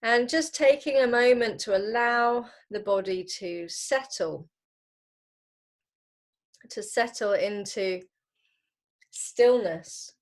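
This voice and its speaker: A woman leading a guided relaxation as a yoga teacher, unhurried and gently instructing, leaving pauses between phrases. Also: on the high side.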